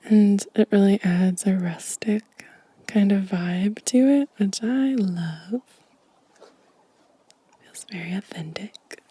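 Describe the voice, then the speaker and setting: Female ASMR host, very soft and mellow, whispering gently in a breathy, slow delivery. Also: mid-range voice, no echo. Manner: gentle, unhurried. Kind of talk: soft-spoken, whispered ASMR show and tell.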